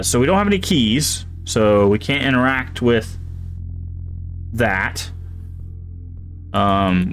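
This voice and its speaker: A man streaming a horror game, thinking aloud and reading in-game items and notes as he plays. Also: on the low side. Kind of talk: casual gameplay commentary.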